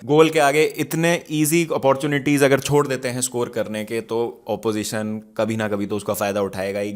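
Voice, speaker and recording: A man with an Indian accent talks through football matches as a show host, conversational and opinionated, with emphatic asides. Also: mid-range voice. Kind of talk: casual football match analysis, mixing Hindi and English.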